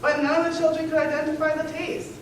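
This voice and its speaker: A woman's voice: high voice, flat delivery, fast. A woman reciting short scripted lines as a readers theater performer. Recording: echoey hall, distant mic, faint noise.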